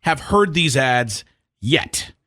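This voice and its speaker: Male morning show host, middle-aged, lively swooping pitch, dry close-mic studio sound, comic storyteller. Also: takes it slowly, no room sound. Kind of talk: animated comic storytelling and banter. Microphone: close studio radio microphone.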